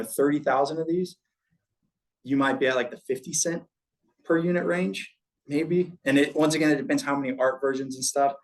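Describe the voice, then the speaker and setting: A man's voice: mid-range voice, poor audio. A man from a packaging company giving a loose, casual talk to a live audience in an event room, showing examples as he talks, with many fillers and restarts. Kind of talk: live panel presentation.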